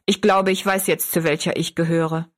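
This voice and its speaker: A woman's voice: fairly low pitch, moderate pace, husky. A woman voicing a half-amused, curious man in a storybook, with a casual, questioning manner. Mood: thoughtful.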